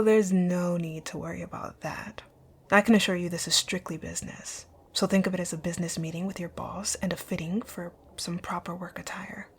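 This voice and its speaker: A woman playing a rich, dominant company owner in an ASMR roleplay, speaking in a composed, commanding, breathy near-whisper. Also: on the low side, slight background noise. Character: rich, bossy, dominant female company owner, curt yet flirtatious. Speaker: audio roleplay voice actor. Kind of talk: ASMR roleplay, scripted one-sided dialogue.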